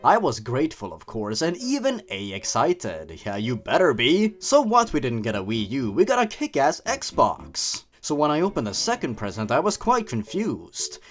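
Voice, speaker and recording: Sarcastic man reading aloud with swooping pitch. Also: huge pitch swings, moderate pace, hardly any pauses, faint music behind. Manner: sarcastic, mocking. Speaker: host reading and commenting on online horror stories. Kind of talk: story reading with sarcastic asides.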